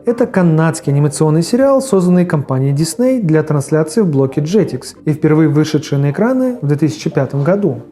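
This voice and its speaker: A man's voice: on the high side, expressive, fairly quick, few pauses, warm tone, faint music behind. A man giving scripted video narration as host, mixed with his own personal commentary on old cartoons.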